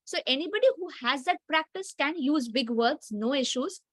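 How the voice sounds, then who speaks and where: A woman's voice: high voice, lively intonation, normal speed, no room sound. A young woman with an Indian English accent and Hindi as her first language, asking long, reflective interview questions with personal asides. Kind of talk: casual conversational interview.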